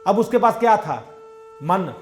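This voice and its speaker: A man's voice: high-pitched, animated, measured pace, faint music behind. A man giving a devotional sermon, telling everyday parables and acting out the dialogue in a conversational way. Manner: conversational storytelling, acts out dialogue in his own voice. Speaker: satsang preacher.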